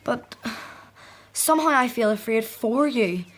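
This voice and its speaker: High, timid, anxious young woman, acted by a woman. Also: animated, unhurried. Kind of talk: Bible radio drama acting.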